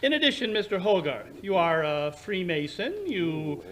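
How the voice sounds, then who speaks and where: Gentleman sleuth played by a man, radio drama: high-voiced, very animated, precise, condescending, theatrical, supremely confident. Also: moderate pace. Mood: confident deduction. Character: brilliant, self-assured Victorian English gentleman detective. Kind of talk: recreated 1940s radio drama acting.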